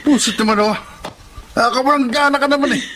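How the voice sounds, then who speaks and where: A man's voice: extremely high pitch, fast talker, a bit bright, slight background noise. An actor playing a cruel, short-tempered, threatening man with a harsh manner in a Filipino radio melodrama. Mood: irritated. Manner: harsh, irritated.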